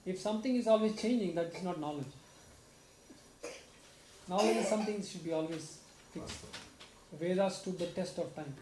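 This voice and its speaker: A man's voice: moderate pace, long pauses. A young man sums up a devotional group meeting at home, explaining earnestly and often asking "right?" into a stand mic. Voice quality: soft low speaking voice. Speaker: speaker summing up a satsang. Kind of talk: devotional talk to a small group. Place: home room with shrine, indoors. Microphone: stand mic in front, filmed by far camera. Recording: roomy echo with clear background noise.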